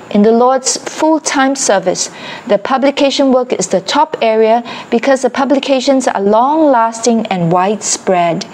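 A woman reading a ministry passage aloud in a slow, earnest, deliberate way. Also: medium pitch, a little mellow, a little hiss or hum. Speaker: reader of a ministry excerpt.

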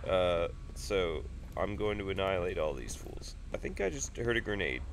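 Stuffy-nosed man gaming, low voice. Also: expressive, moderate pace, slight background noise. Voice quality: nasal. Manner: rambling, jokey, swears freely. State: sick with stuffy sinuses, nasal. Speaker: gaming YouTuber. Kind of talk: casual let's play commentary. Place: home room at a desk.

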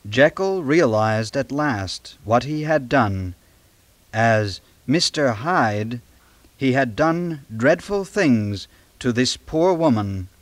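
Slow, expressive male storybook narrator. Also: mid-range voice, no room sound. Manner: measured, suspenseful. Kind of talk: dramatic scripted narration.

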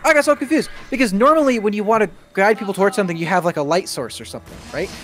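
Male stream co-host, slightly high pitch, very varied intonation, opinionated casual gaming chat. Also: lively intonation, rapid-fire, a bit bright, a little hiss or hum. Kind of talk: casual chat over gameplay.

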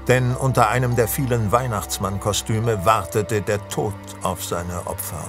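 A man narrating a horror audio drama as an ominous, deliberate storyteller. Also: lowish voice, steady tone. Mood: ominous, measured. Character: ominous male storyteller of a Christmas horror tale. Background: music.

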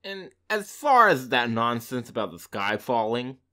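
A woman's voice: very deep voice, sing-song, a little slow, pitched below the performer's own voice, heavily acted. A woman voicing a greedy, scheming male fox in a storybook reading, sneering and gloating.

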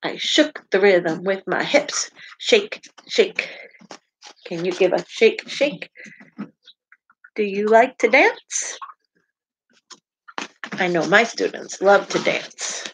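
A middle-aged woman teacher reading a picture book aloud to children, with deliberate sing-song emphasis on repeated words. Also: medium pitch, noisy background. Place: home kitchen, indoors.

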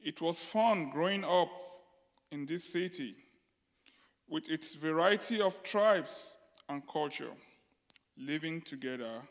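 A man from Nigeria, reading calmly on a concert stage into a handheld mic. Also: a little high, takes it slowly, pauses often. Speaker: poetry reader. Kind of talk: introducing himself and reading a short piece. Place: wood-panelled concert hall stage. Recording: echoey concert hall.

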